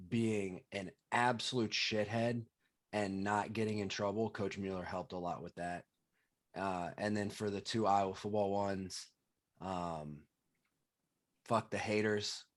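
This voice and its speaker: A man with a lowish voice on a casual podcast. He is blunt and jokey, swearing without a second thought. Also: fairly even intonation, takes it slowly. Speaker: podcast co-host. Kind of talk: casual chat.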